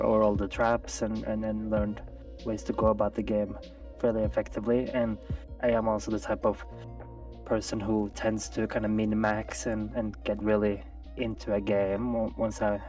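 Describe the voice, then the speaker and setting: A man's voice: on the low side, flat delivery, moderate pace, darkish tone. A man chatting as a guest on a live gaming stream, thoughtful and hesitant, with many restarts. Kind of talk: casual live-stream chat. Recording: distant mic with faint music.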